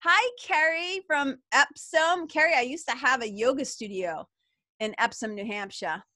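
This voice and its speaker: A middle-aged woman with a Boston accent, co-hosting a live webinar and chatting warmly with viewers in the chat. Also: sits high, normal speed, no room sound, clean studio sound. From Massachusetts. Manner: bubbly, chatty, enthusiastic. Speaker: webinar co-host.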